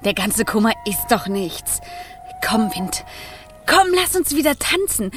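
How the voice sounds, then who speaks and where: A woman playing a willful young female fire spirit in a German children's radio play, theatrical and expressive. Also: on the high side, a little slow, soft background music. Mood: coaxing, impatient. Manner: theatrical, expressive, swinging between pleading and coaxing.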